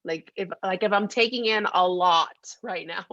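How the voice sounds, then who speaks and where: A woman's voice: medium pitch, unhurried, fairly bright tone, dry recording. A woman co-hosting a podcast in casual chat, playful and emphatic, often repeating phrases for effect. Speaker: podcast co-host. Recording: dull, lo-fi, dry audio.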